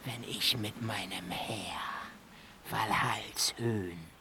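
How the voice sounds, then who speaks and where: An actor playing a bitter, scheming dwarf villain in a fantasy radio play, breathy and hissing, often dropping into whispers. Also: male voice, on the low side, fairly even intonation. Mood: gloating, menacing. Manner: gloating, theatrical, drawn-out menace.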